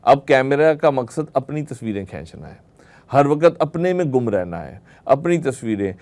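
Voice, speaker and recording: A man's voice: mid-range voice, very animated, moderate pace, a little mellow. A man giving a religious lecture to a group, explaining through rhetorical questions and everyday examples. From Pakistan.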